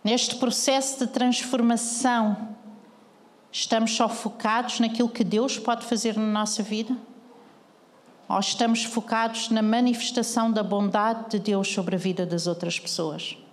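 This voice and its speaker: A woman's voice: on the high side, fairly even intonation, measured pace, in a large hall. A woman pastor with a European Portuguese accent preaching a sermon, earnestly questioning the congregation.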